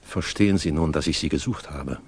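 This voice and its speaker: A grave, observant older gentleman who tells the story. His voice is very low and husky. He speaks with formal, measured care, as in a 1960s radio play. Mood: concerned, formal. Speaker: narrator and character. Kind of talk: 1960s German radio drama narration and acting.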